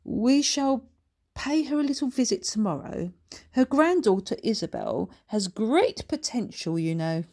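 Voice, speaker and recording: A woman voicing a genteel older titled lady in a storybook, proper, brisk and politely bossy. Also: a little high, sing-song, a little mellow, voice pushed up.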